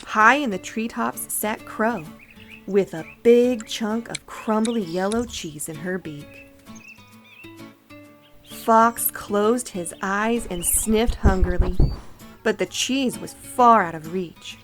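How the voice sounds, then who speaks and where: Woman reading a kids story out loud, slow n expressive, soft music and birds behind. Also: medium pitch. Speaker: storybook narrator. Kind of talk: expressive read-aloud for children.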